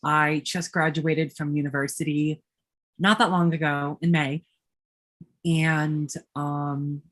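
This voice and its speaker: A woman in her twenties introducing herself on a video call, nervous, giggly and rambling. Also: on the low side. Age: 20s. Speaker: player.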